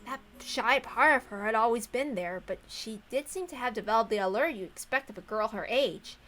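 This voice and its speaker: Casting: female story reader, fairly high and bright, scripted narration with chatty asides, faint music behind. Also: lively intonation, normal speed. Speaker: narrator and reader of a visual novel.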